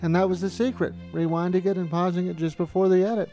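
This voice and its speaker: A man's voice: high voice, flat delivery, quick pace, warm tone. A man narrating a retrospective about amateur filmmaking in a conversational, storytelling way. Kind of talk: reminiscing documentary narration. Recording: voice-over with music behind. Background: music.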